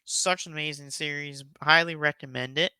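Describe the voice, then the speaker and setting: A man's voice: a little high, fairly even intonation, moderate pace, sharp tone, clear, resonant tone, no echo. A man hosting a casual, enthusiastic countdown commentary, speaking in an emphatic, effusive and rambling way. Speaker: YouTube host giving a top ten countdown.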